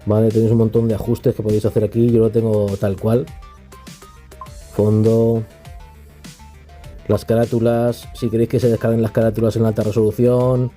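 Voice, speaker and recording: A man's voice: on the low side, level tone, normal speed, pauses often, dark tone, soft background music. A man with a Castilian accent from Spain giving a casual, unscripted app review, often tagging phrases with little questioning checks. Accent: Castilian Spanish from Spain. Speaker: tech reviewer.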